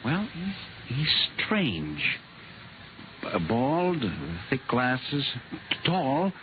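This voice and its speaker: An actor playing an earnest, anxious missing-persons clerk, hesitant yet insistent, in 1950s radio drama style. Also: male voice, a little high, sing-song, extremely slow, full character voice. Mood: uneasy, hesitant. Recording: tinny, hissy old radio recording.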